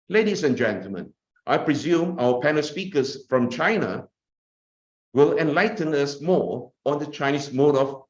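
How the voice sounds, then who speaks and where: Male conference speaker, measured oratory, roomy lo-fi audio. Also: medium pitch. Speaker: conference speaker giving welcome address. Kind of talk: formal read speech. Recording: echoey, dull webinar audio.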